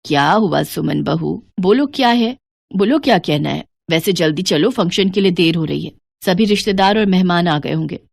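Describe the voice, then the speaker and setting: A woman's voice: on the low side, lively intonation, moderate pace, gravelly, no echo, voice pushed down. A woman voicing an elderly widowed mother-in-law in a family story, emotional, hurt and pleading. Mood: gently impatient.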